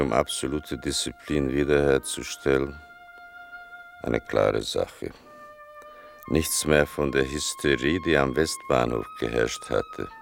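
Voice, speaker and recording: Very deep, slow male narrator. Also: vocal fry, exaggerated voice. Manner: deliberate, sombre, restrained. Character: grave adult son narrating his mother's wartime deportation. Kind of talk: slow, measured literary narration in a radio play.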